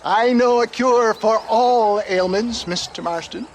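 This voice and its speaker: Pompous, high-pitched old snake-oil salesman. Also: measured pace, a little hiss or hum, low-quality recording. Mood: wheedling, evasive. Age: older. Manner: florid, pompous, theatrical orator.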